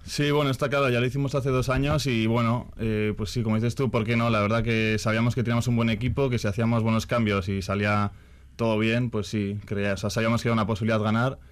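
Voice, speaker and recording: A man's voice: mid-range voice, little pitch movement, quick pace, clear, resonant tone. A young man with a northern Spanish accent, talking casually as an athlete being interviewed. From Vitoria-Gasteiz, Basque Country, Spain. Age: young adult. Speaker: athlete guest, hurdler.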